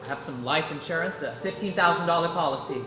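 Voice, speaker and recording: A man's voice: on the high side, fast talker, close to an everyday voice. A man playing a desperate young family man pleading in a live radio play. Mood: anxious. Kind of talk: live 1940s-style radio play acting. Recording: echoey stage, music behind.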